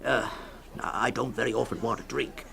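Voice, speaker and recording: A man playing a rambling old storyteller fond of a drink in a dramatised short story, hemming, hawing and repeating himself in a creaky voice. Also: medium pitch, moderate pace, fairly bright tone. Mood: hesitant. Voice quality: creaky, gravelly.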